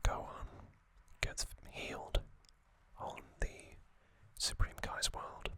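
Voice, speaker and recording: Man, soft unvoiced whisper, unhurried, close-miked ASMR, airy and bright, pauses with ums. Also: dry recording. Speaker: ASMR channel host. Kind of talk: whispered ASMR trivia narration.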